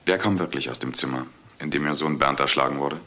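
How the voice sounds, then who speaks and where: An actor playing a grave police investigator in a crime radio play, blunt and formal. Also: male voice, rumbling low, fast, bright, forceful tone, a little hiss or hum. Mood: probing.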